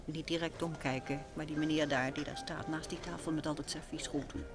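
An actress in an old Dutch radio play, playing a curious, observant wife who chides affectionately and talks in a chatty way. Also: female voice, low voice, fairly even intonation, a little fast, over music.

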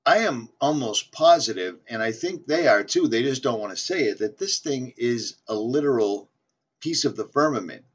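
A man's voice: mid-range voice, animated, normal speed, slightly rough. Insistent man rambling, lo-fi audio. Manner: insistent, repetitive, reading aloud with stumbles. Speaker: flat earth video maker. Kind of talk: casual rambling monologue. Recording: dull lo-fi audio.

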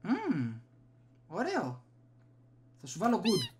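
A man's voice: high voice, huge pitch swings, slight background noise. A young man from Greece giving casual gameplay commentary as a video host, muttering to himself as he plays. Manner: muttering to himself while playing. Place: small room at home. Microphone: desktop USB microphone.

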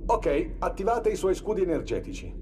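Game role: harried, deferential cryo technician, clipped delivery, animated pitch, noisy background. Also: male voice, moderate pace. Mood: brisk, courteous. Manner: clipped, polite, procedural. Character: brisk, deferential military cryo technician, adult man, harried. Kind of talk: video game voice acting.

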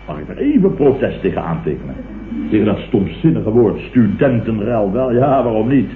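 A man's voice: fairly low pitch, big pitch swings, moderate pace. A Dutch man playing a pompous, indignant middle-aged businessman who sputters and declaims in a radio comedy. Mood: indignant, grandiose. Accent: Dutch, from the Netherlands. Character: blustering, pompous middle-aged businessman, opportunistically turned leftist. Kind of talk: 1960s-70s radio comedy acting. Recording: tinny, echoey, hissy old radio recording.

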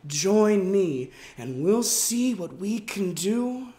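A man performing a melodramatic reading as a self-important, deluded amateur screenwriter, with pompous, overwrought delivery and dramatic pauses. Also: high-pitched, sing-song, mellow, heavily acted. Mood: hushed, solemn. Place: indoors in a wood-panelled room.

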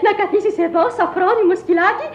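Shrill, excitable eccentric lady. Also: echoey room. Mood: fussy scolding. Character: eccentric madwoman fussing over an imaginary lapdog. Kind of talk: radio theatre comedy. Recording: dull old radio recording.

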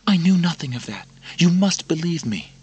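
A man voicing a frightened noblewoman who pleads softly and often whispers, with a breathy voice. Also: on the high side, sing-song, moderate pace, voice pushed up, full character voice. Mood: pleading. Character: grieving noblewoman, afraid for her son.

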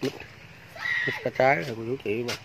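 A man's voice: medium pitch, brisk, creaky, background noise. A man, talking casually to viewers while filming a walking tour of a farm outdoors on a phone. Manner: chatty, keeps addressing viewers as aunts, uncles and friends. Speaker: vlogger giving a farm tour. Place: outdoors on a rural farm by fish ponds.

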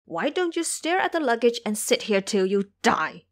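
A brash monkey disciple with magic powers acted out by a woman in an audio drama. He is boastful and short of patience, his voice riding high and swinging widely in pitch. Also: big pitch swings, normal speed. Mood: exasperated, angry. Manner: brash, impatient, ranting.

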